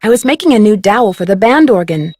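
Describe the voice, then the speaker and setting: A woman's voice: mid-range voice, lively intonation, fast, darkish tone, no echo, studio quality, natural voice. An actress voicing an earnest, plucky young woman detective in a video game, polite and understated. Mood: sheepish. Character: earnest, plucky, accident-prone young female amateur detective. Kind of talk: scripted video game voice acting.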